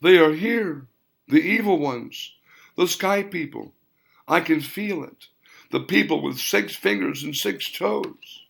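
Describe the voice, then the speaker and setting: A man's voice: on the high side, animated, takes it slowly, creaky, fairly bright tone, pitched above the performer's own voice, heavily acted. A man voicing a grieving old grandmother with a shaky, solemn voice who speaks in warnings. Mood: ominous.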